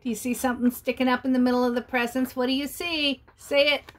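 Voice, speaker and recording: Animated woman reading to children. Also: high voice, fast talker. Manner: animated, chatty, asks listeners questions. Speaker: storyteller. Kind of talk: children's read-aloud.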